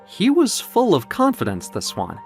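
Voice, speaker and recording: A man giving dramatic scripted storytelling, with theatrical, deliberate phrasing, like an audio storybook. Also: sits high, wildly swooping pitch, a little mellow, soft background music.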